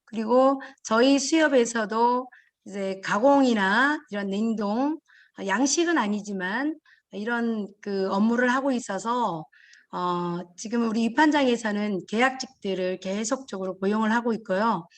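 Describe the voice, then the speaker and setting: A woman who heads a fisheries cooperative, answering a panel discussion over a video call in an earnest, measured way. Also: on the high side. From Jeju Island, Korea. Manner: earnest, measured, with fillers and restarts.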